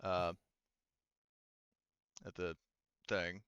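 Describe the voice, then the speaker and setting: Clear-voiced man running a tabletop game. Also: deep voice, level tone, fairly bright tone, no room sound, muffled recording. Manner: halting, thinking aloud, casually profane. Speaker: game master. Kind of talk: casual tabletop game narration and rulings.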